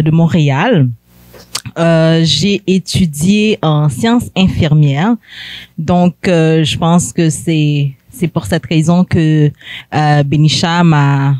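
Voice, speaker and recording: A woman's voice: on the low side, darkish tone, no room sound. A young woman from Haiti, a guest telling her story in a hesitant way, with many fillers. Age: young adult. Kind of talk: hesitant personal testimony.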